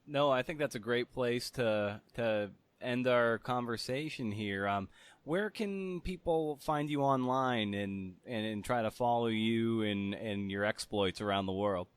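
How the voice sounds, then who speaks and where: A man's voice: mid-range voice, measured pace, clean tone, dry recording. A man hosting a podcast in an informal, conversational way, often rephrasing as he goes. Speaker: podcast host. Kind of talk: conversational interview and podcast narration.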